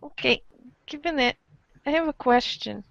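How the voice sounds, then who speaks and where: A woman voicing an awkward, easily flustered alien troll teen in an amateur comic script reading, halting and stammering. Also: sits high, vocal fry, muffled recording, crowd noise. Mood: hesitant. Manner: halting, stammering, full of ums.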